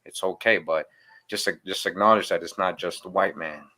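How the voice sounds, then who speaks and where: A man from Boston talks as a guest in a low-pitched voice with a sharp, forceful tone. His delivery is conversational and emphatic, in the loose style of a casual interview. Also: fairly even intonation, normal speed. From Boston, born in Costa Rica. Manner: emphatic, conversational, repeats "you know". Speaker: guest teacher.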